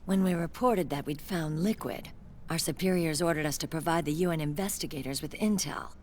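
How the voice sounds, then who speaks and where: An actress playing a tough, hot-tempered young American squad commander in a video game, blunt and military in manner. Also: female voice, sits low, fairly quick, darkish tone. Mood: businesslike. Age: young adult. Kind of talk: dramatic video game cutscene acting.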